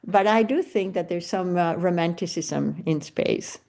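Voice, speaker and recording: Low-pitched older woman podcast host. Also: a little slow, gravelly, a little mellow. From Venezuela. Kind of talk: casual interview chat.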